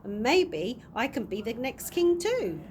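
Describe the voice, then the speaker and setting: A woman's voice: a little high, very animated, measured pace, a little mellow. Lady doing a cheery old-fashioned tramp from a kids book, polite, pleased with himself, voice goes up and down loads, slight noise. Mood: delighted, grand. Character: cheerful, vain, courteous old male tramp. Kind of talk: storybook character reading. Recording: audible background noise.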